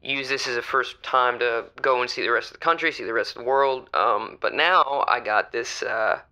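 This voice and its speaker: A man's voice: medium pitch, normal speed, sharp tone, clear, resonant tone. A man playing a nervous, polite, folksy radio caller who stammers and hesitates over a dull caller line. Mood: nervous, troubled. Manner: hesitant, stammering, courtly wording. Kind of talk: improvised radio call-in drama.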